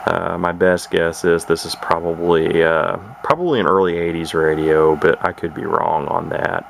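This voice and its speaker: A man's voice: low-pitched, level tone, moderate pace, gravelly. A man giving a casual, rambling hobbyist demonstration, hesitating and repeating words as he goes. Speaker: radio collector presenting his collection. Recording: noisy amateur camera recording.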